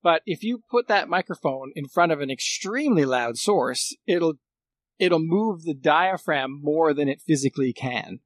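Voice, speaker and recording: A man with a slightly high voice, recorded very dry. He explains technical things casually, stopping and rewording as he works through them. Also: lively intonation, no echo. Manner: explanatory, halting, rephrases often.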